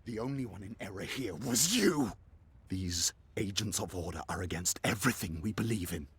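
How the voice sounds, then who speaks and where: A man playing a bitter, scheming dark elf leader in a fantasy video game, husky and raspy, severe and accusing. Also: low-pitched, animated, moderate pace, slight background noise. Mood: angry accusation.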